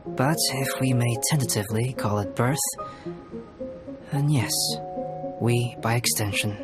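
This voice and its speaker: An actor playing a calm, scholarly young male alchemist in a video game, speaking in a measured, formal, reflective way. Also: mid-range voice, over loud music, natural voice. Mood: pensive, measured. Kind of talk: video game character dialogue.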